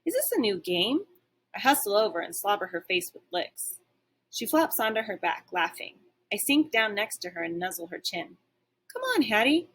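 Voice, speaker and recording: A woman's voice: mid-range voice, big pitch swings, moderate pace, fairly bright tone, slightly rough. A woman reading a children's story aloud like a teacher, expressively, with dramatic and varied emphasis.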